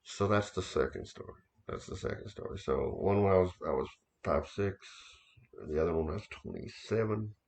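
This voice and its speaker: A man in his thirties or older with a very deep, gravelly voice tells a personal story in a casual, halting way, often repeating himself and restarting his sentences. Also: darkish tone. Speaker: occult author recounting experiences.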